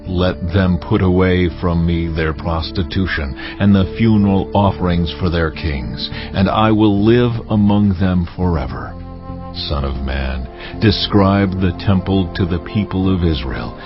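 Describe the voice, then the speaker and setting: An actor playing God in a dramatized scripture reading, solemn, deliberate and commanding as he instructs his prophet. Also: deep voice. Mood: stern, grieved. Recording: dull sound over music bed.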